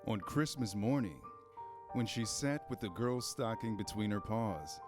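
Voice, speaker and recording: Male narrator for a children's tale, lower register, measured scripted reading, soft music bed. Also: lively intonation. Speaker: storybook narrator in a reader's theater. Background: instrumental music.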